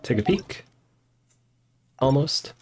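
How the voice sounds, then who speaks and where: A man's voice: mid-range voice, very animated, slow pace, a little hiss or hum. A man streaming a video game, giving easygoing, casual commentary as he plays and talks to his viewers. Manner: easygoing, chatting to viewers and himself. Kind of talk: casual live gameplay commentary.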